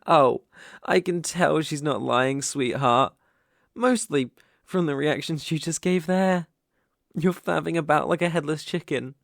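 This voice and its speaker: A man's voice: on the high side, gravelly, fairly bright tone, no echo, clean studio sound, heavily acted. A British man acting a dominant, teasing, possessive young bully in love in an intimate ASMR roleplay. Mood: teasing, amused. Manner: slow, teasing, commanding.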